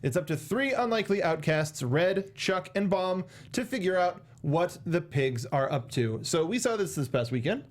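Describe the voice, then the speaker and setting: A young man hosting a movie discussion, talking casually with halting, repeated words into a close studio microphone. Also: high-pitched, animated. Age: young adult. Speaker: show host. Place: small indoor podcast studio. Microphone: close studio microphone with foam cover, headset monitoring.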